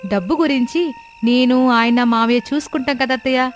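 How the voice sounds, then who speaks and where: Young village daughter-in-law, story cartoon female performer: caring, earnest, slightly high, music behind. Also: steady tone, normal speed, lightly acted. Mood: earnest pleading. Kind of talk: children's moral-story cartoon voice.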